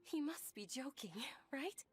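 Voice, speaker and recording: A woman's voice: sits high, lively intonation, unhurried, faint music behind. An actress playing a timid, polite young noblewoman in an anime video game, speaking hesitantly with a stammer. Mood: nervous.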